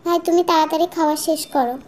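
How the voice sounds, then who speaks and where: A woman's voice: high-pitched, fairly even intonation, very fast, natural voice. A woman voicing a devoted, hospitable village housewife and younger sister in a cartoon. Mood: delighted, fond. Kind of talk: Bangla cartoon dialogue.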